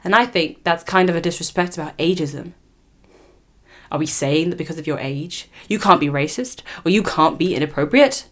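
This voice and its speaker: A woman's voice: low voice, moderate pace. A woman giving an opinionated commentary monologue, sarcastic and mocking, slipping into parody voices. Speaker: commentary vlogger.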